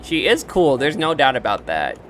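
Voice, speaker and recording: A man's voice: medium pitch, huge pitch swings, moderate pace, fairly bright tone, soft background music. A man giving casual, chatty game commentary, reacting and musing aloud as he plays. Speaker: let's play streamer.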